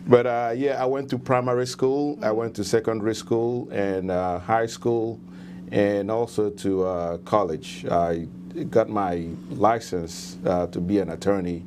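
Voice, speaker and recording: A middle-aged man from Nigeria with a Nigerian accent, answering interview questions as a guest candidate. He speaks in a measured way and pauses often. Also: fairly low pitch, fairly even intonation, darkish tone, slight background noise. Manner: measured, with frequent hesitations.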